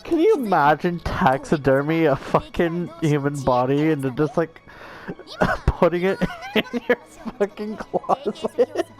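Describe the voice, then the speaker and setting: A woman's voice: sits low, big pitch swings, unhurried, soft background music. A woman giving casual, sweary gameplay commentary with an irreverent, darkly joking manner. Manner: crude, irreverent dark humour, giggly. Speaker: gamer, streamer.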